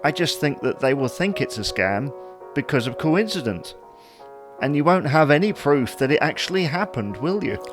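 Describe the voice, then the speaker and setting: A man's voice: on the high side, very animated, normal speed, soft background music. A man playing a teasing, sceptical husband in a comedy audio drama, wry and reasoning. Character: teasing, sceptical husband, cautious about money.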